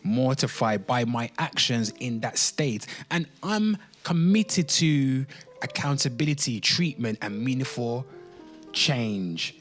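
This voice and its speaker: Animated man ranting over faint music. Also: mid-range voice, takes it slowly. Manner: rambling, repeats phrases for emphasis; slangy, frequently swearing, addressing listeners as people. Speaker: online show host. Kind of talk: casual commentary with dramatic reading aloud.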